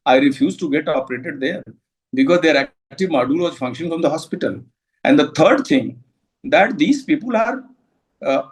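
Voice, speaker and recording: Higher-voiced Indian man giving halting expert commentary. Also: low-quality recording. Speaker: security expert, former counter-terrorism officer.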